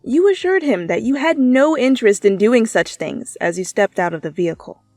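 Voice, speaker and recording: A woman's voice: on the high side, lively intonation, moderate pace, dry recording. Woman narrating a story, dry close mic. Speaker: fan fiction narrator. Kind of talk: scripted second-person story narration. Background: soft background music.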